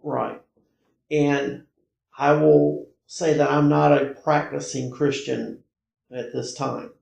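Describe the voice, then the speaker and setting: An American man talks casually, as a guest telling a personal story. His voice sits a little high and is thick with gravelly fry. He moves in halting, emphatic bursts, repeating himself, with the room echoing around him. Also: little pitch movement, a little mellow. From North Carolina, United States. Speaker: podcast guest recounting personal paranormal experiences. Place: indoor room, in-person conversation. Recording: roomy, echoey indoor recording.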